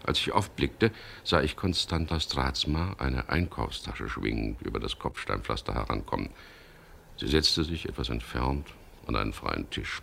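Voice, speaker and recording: Wry adventurer, extremely deep voice, creaky, laconic, first-person radio thriller narration. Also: male voice, lively intonation, moderate pace, fairly bright tone, a little hiss or hum, heavily acted. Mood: watchful, wry. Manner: dry, reflective, laconic. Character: wry, uneasy male adventurer narrating his own story. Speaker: narrator in a radio crime drama.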